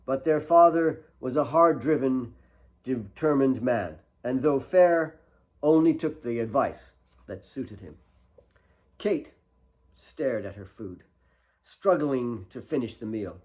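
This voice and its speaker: A man's voice: medium pitch, darkish tone. A man narrates a novel he wrote himself. The delivery is scripted and dramatic, in the manner of an audiobook, with a mid-range voice. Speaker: author reading own novel.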